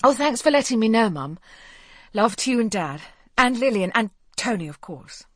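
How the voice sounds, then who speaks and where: A woman doing a higher-pitched, harried young mother. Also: sing-song, moderate pace, no room sound, studio quality. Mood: hurried, fond. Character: harried young single mother, bright and eager with her mum.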